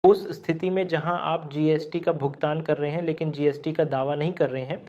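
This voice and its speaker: A man teaching an accounting software tutorial step by step, often checking in with the learner. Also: fairly high pitch, steady tone, a little fast, highs cut off. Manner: explanatory, step by step, frequent "ठीक है?" check-ins. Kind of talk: screen-recorded software tutorial.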